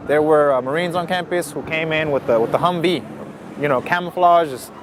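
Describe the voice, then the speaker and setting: Fast, heated teacher outdoors. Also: male voice, on the high side. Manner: fast, heated, many fillers. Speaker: community organizer and teacher. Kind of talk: interview, outdoor. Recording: noisy street audio.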